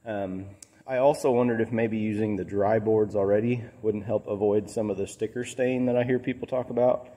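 A man's voice: on the low side, fairly even intonation, moderate pace, dark tone. A man speaking casually and plainly as he explains a hands-on workshop project as a DIY host. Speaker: DIY builder and channel host. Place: large metal-roofed workshop building.

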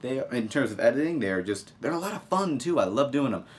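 A man's voice: medium pitch, big pitch swings, fairly quick. A young American man from Texas giving casual, sarcastic commentary as he reads out news as a video host. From Texas, United States. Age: young adult. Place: small room at a home desk, indoors.

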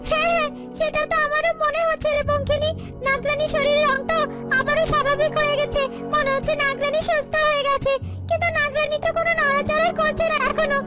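A performer voicing a devoted, excitable young female serpent attendant in a fairytale cartoon, eager and repetitive. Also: very high voice, fast. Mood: worried. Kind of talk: Bengali fairytale cartoon voice acting. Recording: loud music under the voice.

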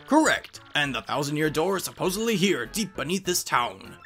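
A man voicing a scholarly, fussy, excitable old professor in a game, speaking in formal, old-fashioned phrases. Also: on the high side, normal speed, faint music behind. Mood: scholarly, earnest.

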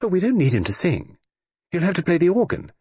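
A husky-voiced man voicing an elderly aristocratic great-aunt who is brisk, teasing and dry-witted. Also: fairly low pitch, very animated, dark tone, no room sound, voice pushed up, exaggerated voice. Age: older.

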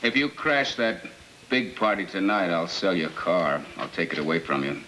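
A middle-aged father in a 1950s film drama, very low and forceful. He scolds and commands like someone laying down the law, over a faintly noisy old soundtrack. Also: moderate pace. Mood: stern, threatening. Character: stern threatening father scolding his teenage son.

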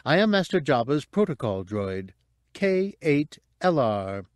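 A man's voice: medium pitch, very animated, measured pace, no echo, studio quality, pitched above the performer's own voice. A man voicing a fussy, polite protocol droid with a melodious voice, prim and chatty.

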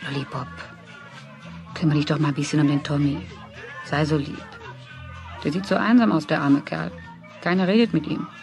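A woman's voice: sits low, steady tone, normal speed, gravelly, long pauses, a little mellow, faint music behind, some chatter behind. An actress playing a worried, caring middle-aged mother in a children's radio play. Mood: gently urging.

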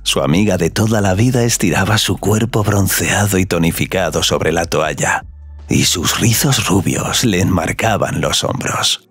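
A man narrating scripted audio fiction in a slow, sensual storytelling manner. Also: low-pitched, fairly bright tone, husky, no echo, soft background music.

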